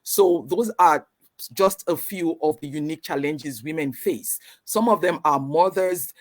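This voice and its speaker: Earnest man, higher voice, halting. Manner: earnest, many fillers and restarts. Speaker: community organization panelist. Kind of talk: panel conversation answer.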